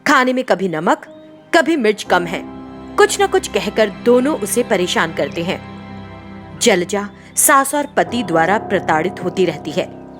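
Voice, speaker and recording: A woman narrator reading a scripted family story. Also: medium pitch.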